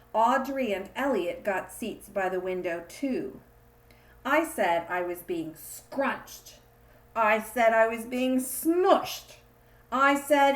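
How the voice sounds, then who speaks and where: Lively woman reading a kids' story. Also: fairly high pitch, reverberant. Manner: expressive, drawn-out emphasis on repeated refrain. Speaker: children's book reader. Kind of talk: read-aloud storytelling for kids.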